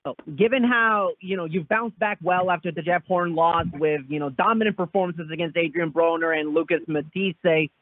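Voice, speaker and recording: A man's voice: a little high, steady tone, moderate pace, few pauses. A man working as a sports reporter, asking long, rambling questions on a press conference call. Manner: long rambling questions padded with "you know". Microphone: phone. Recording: thin phone-line conference call audio.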